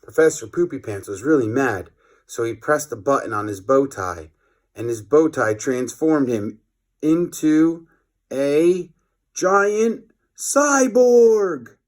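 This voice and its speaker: A man's voice: mid-range voice, animated, slow pace, a little mellow. Man reading a children's storybook aloud. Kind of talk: expressive children's storybook narration.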